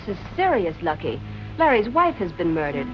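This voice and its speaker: An actress playing a brisk, loyal nightclub woman in 1940s film noir style. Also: on the low side, big pitch swings, music in the background, low-quality recording. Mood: earnest, defensive.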